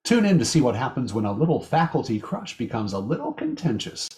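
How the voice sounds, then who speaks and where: In an echoing room, a man delivers a scripted radio announcement. His voice is medium in pitch and varies widely in intonation, in the style of a vintage radio presenter. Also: wildly swooping pitch, fairly quick, a little mellow. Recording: roomy, echoing voice track.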